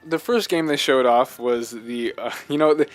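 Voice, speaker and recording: A man co-hosting a podcast, chatting casually about games in a halting, rambling way. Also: mid-range voice, soft background music. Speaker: podcast co-host. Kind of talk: casual chat, video game discussion.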